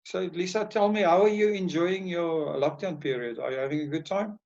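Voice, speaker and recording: A man's voice: sits high, normal speed, creaky, a little mellow, highs cut off. A man hosting a show, reading an announcer-style intro and then interviewing a guest. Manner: announcer-like, reads lists. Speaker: show host.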